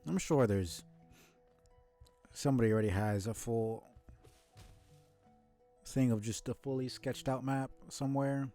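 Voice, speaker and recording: A man's voice: medium pitch, a little slow, pauses often, dark tone, faint music behind. A man giving casual live commentary on a video game, muttering in a laid-back, mildly grumbling way. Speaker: game streamer.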